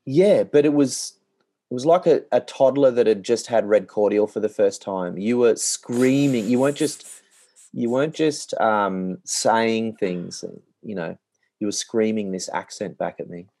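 オーストラリア訛りの30代男性が、ポッドキャストで淡々と単調な口調で雑談している。. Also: male voice, unhurried, dry recording. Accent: Australian. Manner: monotonous, low-key delivery. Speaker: podcast co-host, musician. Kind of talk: casual chat.

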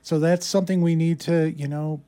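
A man with a fairly high voice chats as a morning radio co-host. His delivery is casual and conversational, pausing here and there to gather his thoughts. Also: steady tone, mellow.

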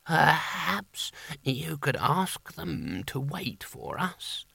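A man voicing Pestilence, a sickly, gloomy horseman with a gravelly, dripping voice who talks slowly and doubtfully. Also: fairly high pitch, big pitch swings, bright, forceful tone, no room sound, exaggerated voice. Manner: slow, doubtful and mournful.

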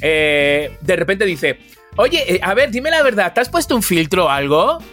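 A man with an accent from Spain chatting casually as a podcast co-host, telling stories in an animated, colloquial way. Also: sits high, moderate pace, soft background music. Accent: Spanish from Spain. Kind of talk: casual chat.

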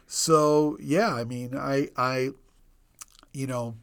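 Slow, smooth-voiced man in his sixties. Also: mid-range voice, fairly even intonation. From Northern Virginia, United States. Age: 60s. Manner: rambling, self-deprecating, many restarts. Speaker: guest, obstetrician. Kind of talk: casual interview storytelling.

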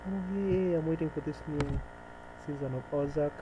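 A man's voice: on the high side, moderate pace. A man from Nairobi with a Kenyan accent chats casually and unscripted, rambling and hesitant as he thinks aloud. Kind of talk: casual unscripted monologue chat. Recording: noisy with clear mains hum.

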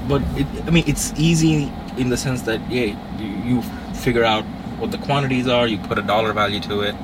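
A man's voice: medium pitch, a little fast. Young man with a light Indian accent. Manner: relaxed, plain answers with small hesitations. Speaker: interviewee. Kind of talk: casual street interview. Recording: street noise with traffic.

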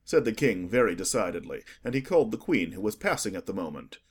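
A man narrating a children's story in an expressive, scripted audiobook style. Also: on the low side, quick pace, breathy edge.

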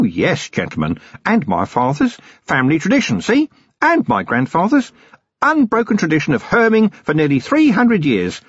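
A man's voice: fairly high pitch, breathy edge, no echo, pitched above the performer's own voice, heavily acted. A man voicing a cheerful, talkative old male hermit who rambles eagerly and deferentially. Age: older. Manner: eager, rambling, deferential, chuckling at his own jokes. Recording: dull, dry, lo-fi recording.

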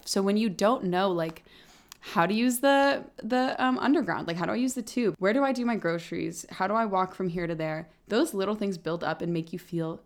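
A young woman co-hosting a casual podcast, talking things through in a reflective way and explaining with everyday comparisons. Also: on the low side. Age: young adult. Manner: reflective, uses metaphors, backchannels "yeah". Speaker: podcast co-host. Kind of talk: casual chat.

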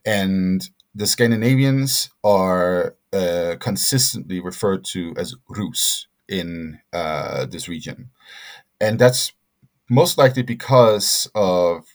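A middle-aged man answering interview questions as a scholar, speaking haltingly with many fillers and restarts. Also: low voice, expressive. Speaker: guest scholar.

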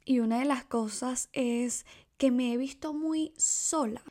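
A young woman from Ecuador talks through her solo podcast with a slightly high voice. She is chatty and confiding, and her talk is full of asides and fillers. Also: measured pace, mellow. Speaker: podcast host.

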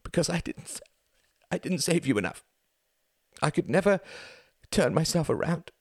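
A man's voice: expressive, normal speed, darkish tone, breathy edge, dry recording, clean studio sound. A man voicing an injured older brother who sobs and pleads, his voice breaking under guilt. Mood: anguished. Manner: pleading, voice breaking, strained and pitched up. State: sobbing. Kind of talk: audiobook character reading.

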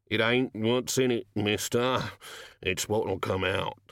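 A man's voice: on the low side, slow, gravelly, a bit bright, dry recording, clean studio sound, heavily acted. A man voicing a small shabby gnome thief in a storybook reading, pleading and evasive, with hesitant, uneducated street speech. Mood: warning.

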